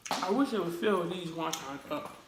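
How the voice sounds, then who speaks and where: A woman hosting her channel, hoarse today, chatting casually between bites while she eats with her sister. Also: lowish voice, lively intonation, moderate pace. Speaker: channel host. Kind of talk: casual mukbang chat while eating. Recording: echoey room with noise.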